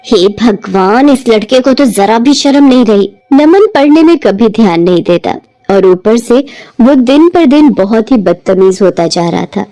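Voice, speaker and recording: A woman narrating an animated bedtime story in a gentle, even read-aloud manner. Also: a little high, unhurried, poor audio.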